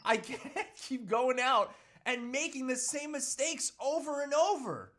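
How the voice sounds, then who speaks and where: A man's voice: extremely high pitch, animated, measured pace, fairly bright tone, full character voice. A man playing a troubled, self-pitying husband and father who earnestly resists temptation, with hesitant, pleading delivery in a script read. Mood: torn, apologetic. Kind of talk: live table read of a movie script.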